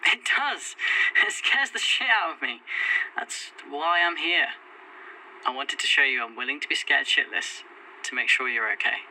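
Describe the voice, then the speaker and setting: Anxious stubborn boyfriend in audio drama, pleading, super bright edgy voice, bit of noise. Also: male voice, fairly high pitch, moderate pace. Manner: earnest, pleading. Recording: slightly noisy entry intercom.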